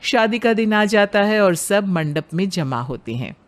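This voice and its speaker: A woman's voice: mid-range voice, lively intonation, measured pace. A woman narrator reading a scripted story in the third person.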